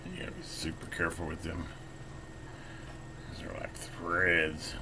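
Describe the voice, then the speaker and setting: A man's voice: deep voice, little pitch movement, unhurried, vocal fry, noisy background. An American man giving a casual, hands-on demonstration, talking informally and folksily, half to himself as he works. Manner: folksy, informal, muttering to himself while working. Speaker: repair vlogger.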